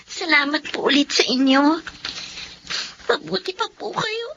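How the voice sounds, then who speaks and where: Meek high-voiced Filipino orphan girl. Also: animated, unhurried, gravelly, pushed and bright, full character voice. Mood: grateful, sad. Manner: pleading, deferential. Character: meek, mistreated young orphan girl, tearful and polite. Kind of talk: Filipino radio drama acting. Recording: dull lo-fi audio with background noise.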